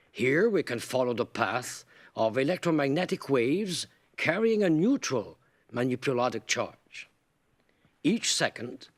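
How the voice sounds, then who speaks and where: An actor playing a scientist who explains a technical device in a lecturing, demonstrative manner. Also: male voice, fairly high pitch. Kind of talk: scripted film voice-over.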